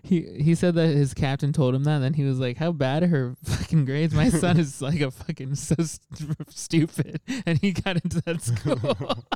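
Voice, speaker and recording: A man's voice: on the high side, moderate pace, hardly any pauses, dark tone, dry recording, studio quality. A young man chatting casually as a podcast co-host and comic, rambling with lots of fillers and laughing often. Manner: rambling, laughs a lot, many fillers and restarts. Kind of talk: casual chat.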